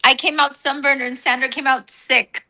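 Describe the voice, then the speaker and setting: A woman chatting quickly and casually with friends. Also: sits high, flat delivery, pushed and bright, poor audio. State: slight sniffle. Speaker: guest.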